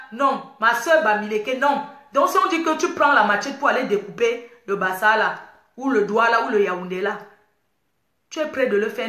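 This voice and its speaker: A woman's voice: high-pitched, pushed and bright, roomy sound. A woman, talking passionately and repetitively to her online followers in a casual livestream. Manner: impassioned, repetitive, preachy. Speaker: online community host.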